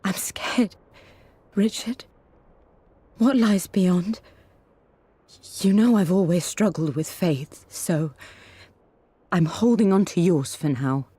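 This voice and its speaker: An actress playing a gentle, dying mother in a video game, tender, sad and weary in a soft, breathy voice. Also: on the low side, no echo. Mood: weary, frightened love. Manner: slow, tender, fragile. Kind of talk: intimate dramatic letter reading.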